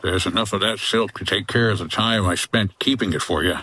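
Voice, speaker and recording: A man's voice: lowish voice, fairly quick, sharp tone, a little hiss or hum. A man playing a wry, tough 1950s boat skipper in a comedy audio drama, talking in a clipped hardboiled way. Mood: calm, bargaining.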